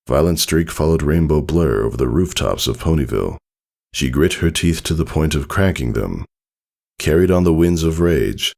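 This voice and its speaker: Very deep, gravelly male story narrator. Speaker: fan fiction narrator. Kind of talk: dramatic scripted story narration. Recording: soft music behind the voice.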